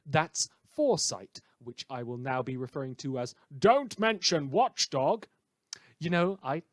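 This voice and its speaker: A man's voice: fairly high pitch, no echo, natural voice. A man playing a sarcastic, indignant radio host in a superhero audio drama, delivering a satirical monologue with theatrical emphasis. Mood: sardonic. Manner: sardonic, theatrical emphasis, mock-hesitant asides.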